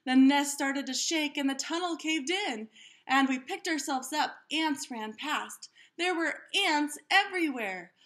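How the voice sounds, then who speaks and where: A woman reading a children's story aloud like a teacher, animatedly, pausing to question and explain things to young listeners. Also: sits high, fairly bright tone.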